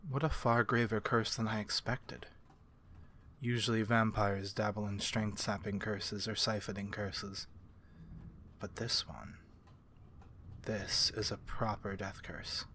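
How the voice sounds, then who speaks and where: Man acting as a courteous vampire curse-breaker, lowish voice, formal archaic speech, measured, learned, ASMR fantasy roleplay, faint background noise. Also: little pitch movement, pushed and bright. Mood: grave, intrigued.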